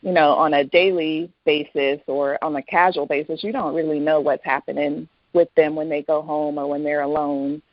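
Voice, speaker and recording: A woman's voice: low voice, steady tone, moderate pace, hardly any pauses, dry recording, highs cut off. A woman speaking as a guest author in a casual interview, explaining things in a conversational way.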